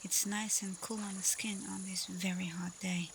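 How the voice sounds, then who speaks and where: A woman playing a caring, teasing female alpha werewolf in an intimate, softly spoken ASMR roleplay. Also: mid-range voice, little pitch movement, a little hiss or hum, lightly acted, poor audio. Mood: warm, calm. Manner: playful, unhurried, soft, at times whispering. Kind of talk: ASMR roleplay, intimate acting addressed to listener.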